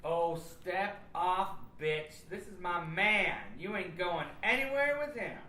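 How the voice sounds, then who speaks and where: A man playing a sassy, jealous, effeminate boyfriend, catty and indignant, with drawn-out words. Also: sits high, sharp tone, slight background noise, full character voice. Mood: catty, possessive. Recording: echoey room.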